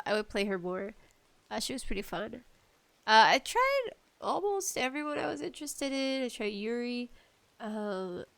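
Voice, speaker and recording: Slow, nervous woman with a high clear voice. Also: sharp tone, no echo. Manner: nervous, apologetic, halting. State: allergies acting up. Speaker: podcast host. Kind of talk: casual solo podcast chat about games and tea.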